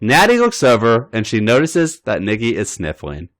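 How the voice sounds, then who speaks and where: A man's voice: mid-range voice, wildly swooping pitch, moderate pace. A man hosting a podcast, reading a story aloud in scripted narration. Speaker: podcast host and story reader.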